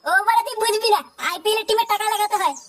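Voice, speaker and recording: A man voicing a boastful, snobbish newly rich gambler in a comedy cartoon, with a West Bengal Bengali accent and a pitched-up voice. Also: racing, very noisy. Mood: scornful bragging. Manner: boastful, dismissive, sweary. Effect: pitched-up, sped-up voice. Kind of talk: comic cartoon voice acting.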